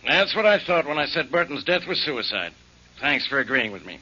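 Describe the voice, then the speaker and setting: An actor playing a smug, curt police inspector in a 1940s radio drama. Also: male voice, a little high, sing-song, fairly quick, pushed and bright, full character voice. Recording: dull lo-fi 1940s radio, slightly distant.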